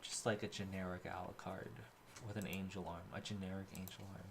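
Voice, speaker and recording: Lower-voiced deadpan joking man. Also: brisk, gravelly, a little hiss or hum. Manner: rambling, deadpan jokes. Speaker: podcast co-host. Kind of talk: casual comedic chat.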